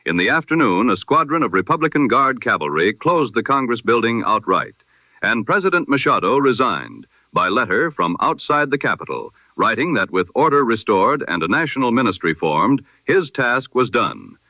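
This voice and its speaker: A man reading a scripted news bulletin in the style of 1920s radio, with a measured, formal delivery. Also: mid-range voice, very animated, no echo, dull audio. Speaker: news reader.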